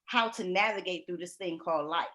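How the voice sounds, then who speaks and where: Brief: woman host, writing coach; bright, loud, folksy, laughs a lot. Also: on the low side, fairly quick. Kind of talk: lively live-stream talk.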